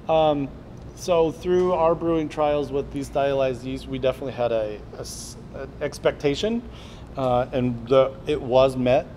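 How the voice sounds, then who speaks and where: A man's voice: medium pitch, warm tone, slight background noise. A man hosting a brewery video, explaining a blind taste test in an upbeat, scripted way while standing in a taproom. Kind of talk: scripted presentation.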